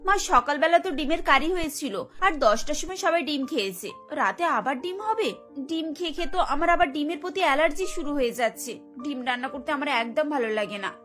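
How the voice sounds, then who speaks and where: A woman's voice: sits high, expressive, a little fast, fairly bright tone, faint music behind. A woman voicing a weary young daughter-in-law in a cartoon, complaining and pleading. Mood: reluctant. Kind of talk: Bengali cartoon voice acting.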